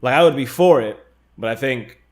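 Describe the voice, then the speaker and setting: A man's voice: medium pitch, normal speed. A man co-hosting a casual fan discussion, talking in an informal, conversational way. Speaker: podcast co-host.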